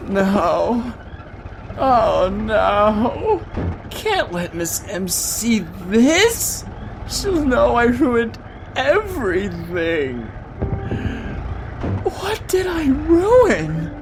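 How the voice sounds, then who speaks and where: Male actor as dazed young man, audio drama, swooping pitch, slow woozy rambling, self-loathing. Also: sing-song, noisy background, full character voice. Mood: distraught. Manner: woozy, drawn-out, rambling delivery.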